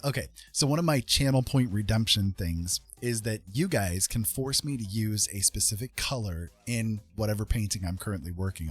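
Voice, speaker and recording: A man with a husky voice hosting a casual livestream, rambling along in folksy, welcoming patter as he chats. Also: on the low side. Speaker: livestream host and artist. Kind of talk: casual chat while streaming digital art.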